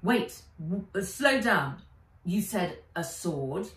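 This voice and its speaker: A British woman voicing a concerned, steady male guardian in a storybook, measured and questioning. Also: on the low side. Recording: roomy, a little distant from mic.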